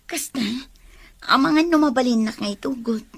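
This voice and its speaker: Husky, high-voiced, long-suffering Filipina girlfriend played by a woman. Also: moderate pace, dry recording. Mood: pleading. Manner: apologetic, hesitant. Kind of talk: audio drama dialogue.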